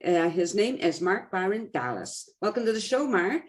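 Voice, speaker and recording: A woman's voice: mid-range voice, big pitch swings, normal speed. Woman host who laughs easily. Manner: laughs easily, light and friendly questions. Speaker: podcast host. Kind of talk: casual interview.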